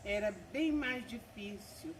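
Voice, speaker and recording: Woman, 70s, earnest church testimony, mid-pitched, distant mic, noisy outdoor birdsong. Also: animated, takes it slowly. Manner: earnest, repeats "né?" and praises God often. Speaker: guest giving testimony. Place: country property.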